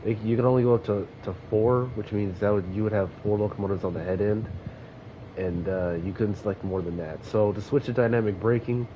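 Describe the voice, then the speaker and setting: A man's voice: lowish voice, fairly even intonation, brisk. A young man sniffling from allergies, rambling casually with fillers as he commentates a train sim game. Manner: rambling, loose, with frequent fillers and self-corrections. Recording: dull lo-fi mic with clear background noise.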